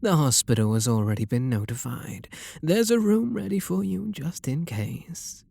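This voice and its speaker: A man with a British accent playing a refined, possessive and seductive vampire lord in an intimate ASMR roleplay, speaking softly and coaxingly with a breathy, husky voice. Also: a little high, expressive, no echo, clean studio sound. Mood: soothing, tender. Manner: soft, coaxing, flirtatious, unhurried.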